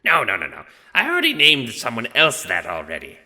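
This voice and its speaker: A man voicing a huge skeletal Death in an audio drama, gruff and growling, bored, blunt and crude. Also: medium pitch, wildly swooping pitch, brisk, pushed and bright, big-room sound, pitched above the performer's own voice, full character voice. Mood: distasteful, erratic. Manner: blunt, dismissive, swearing. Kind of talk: audio drama character acting.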